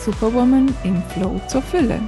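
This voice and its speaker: A woman's voice: fairly high pitch, normal speed, music in the background. A woman co-hosting a podcast who asks curious, relatable questions. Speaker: podcast co-host. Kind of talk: podcast interview.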